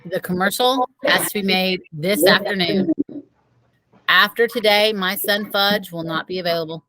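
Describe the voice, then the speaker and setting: A woman's voice: medium pitch, normal speed, bright, forceful tone, dry recording, low-quality recording. A woman teacher reading the dialogue of a children's novel aloud, a little away from the microphone. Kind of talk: read-aloud storybook dialogue.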